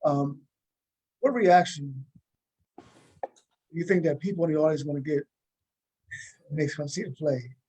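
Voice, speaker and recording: A man's voice: a little high, measured pace, long pauses, warm tone, husky, poor audio. An American man hosting a casual interview over a video call. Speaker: host and playwright interviewing cast.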